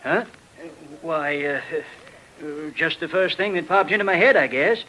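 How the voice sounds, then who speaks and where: A man's voice: a little high, normal speed, bright, forceful tone, a little hiss or hum, poor audio. A man playing a meek, rustic hired hand who talks hesitantly in folksy grammar, in 1940s radio drama style on an old recording. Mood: uneasy. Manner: hesitant, folksy double negatives. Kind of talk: 1940s radio mystery acting.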